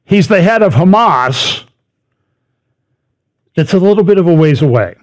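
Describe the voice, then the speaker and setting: A man's voice: high voice, lively intonation, a little slow. A middle-aged man giving a conversational lecture over slides, stressing words by repeating them and dropping in wry asides. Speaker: speaker giving a prophecy update talk.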